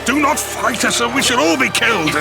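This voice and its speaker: An actor playing a gruff, urgent soldier giving orders in an audio drama. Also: male voice, very high-pitched, animated, fairly quick, music in the background, full character voice. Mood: urgent, commanding.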